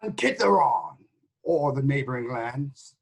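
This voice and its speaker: A man playing a reluctant, evasive old shepherd in a Greek tragedy reading, with a husky voice and halting, guarded delivery. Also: mid-range voice, expressive, full character voice.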